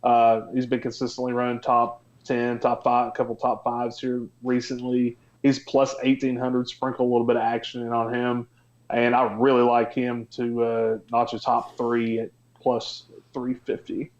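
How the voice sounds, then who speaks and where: A man's voice: mid-range voice, monotone, moderate pace, muffled recording. A man giving casual sports betting picks as an analyst, in a matter-of-fact, conversational way with some hesitation.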